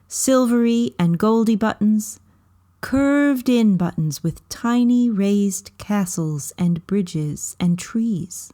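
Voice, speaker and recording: A woman reading a children's story aloud as scripted narration, in measured, deliberate phrases with frequent pauses. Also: mid-range voice, darkish tone.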